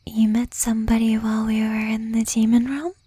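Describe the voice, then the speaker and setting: A woman voicing a jealous, possessive young demon girlfriend in a breathy, intimate whisper with pointed pauses, for an ASMR roleplay. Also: fairly high pitch, completely flat delivery, warm tone, no echo. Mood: suspicious, probing.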